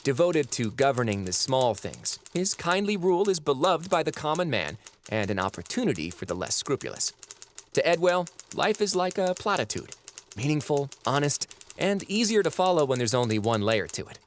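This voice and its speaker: An actor playing an arch, knowing narrator who introduces characters in an audio drama in a droll, literate way. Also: male voice, fairly high pitch, very animated, moderate pace, a little hiss or hum.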